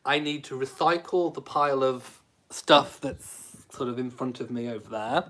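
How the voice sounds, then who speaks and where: A man in his late thirties chats through a casual live stream, reading viewers' comments aloud. His voice sits at an ordinary middle pitch, and his humour is cheeky and camp, often turned on himself. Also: normal speed, a bit bright, a little hiss or hum. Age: late 30s. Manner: cheeky, camp, self-mocking humour with frequent laughs.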